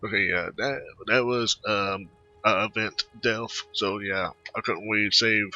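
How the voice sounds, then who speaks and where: A man doing casual video game commentary and reading dialogue aloud, halting and muttering to himself. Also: lowish voice, fairly even intonation, sharp tone, muffled recording, soft background music. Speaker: gamer recording a playthrough.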